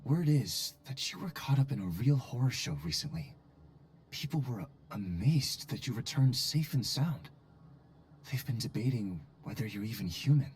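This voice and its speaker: An actor voicing an earnest, fretful colleague in a video game, speaking politely but emphatically. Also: male voice, mid-range voice, expressive, normal speed, a little mellow, slightly rough. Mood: probing, worried. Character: earnest, fretful male colleague, concerned and exasperated.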